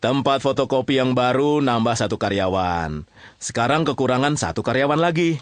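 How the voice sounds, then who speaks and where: A male radio drama storyteller with a chatty, theatrical delivery. He narrates colloquially and teasingly in a mid-pitched voice, recorded dry. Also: lively intonation, normal speed, dry recording.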